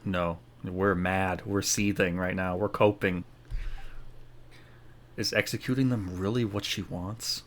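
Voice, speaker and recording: A man's voice: sits low, fairly even intonation, slow, slight background noise. A man streaming a game, giving casual live commentary with informal reactions and slang. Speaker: gaming streamer.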